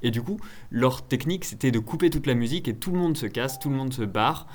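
A man presenting on radio in a casual, anecdotal style, with hesitant, halting phrasing and drawn-out pauses. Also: medium pitch, animated, a little hiss or hum. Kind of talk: casual anecdote, introducing a song.

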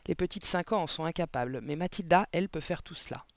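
A man's voice: a little high, quick pace, vocal fry, no echo. A man voicing a young woman schoolteacher in a storybook, polite, articulate and insistent. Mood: composed.

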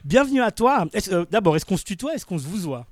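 A man's voice: high voice, big pitch swings, fast, dry recording. A man hosting a live radio interview from a studio, often rephrasing his questions as he goes. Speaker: radio host.

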